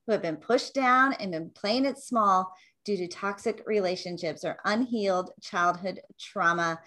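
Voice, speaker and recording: A woman's voice: mid-range voice, measured pace, pushed and bright. A woman hosting an interview show, upbeat and enthusiastic as she introduces and questions her guest. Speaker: podcast host.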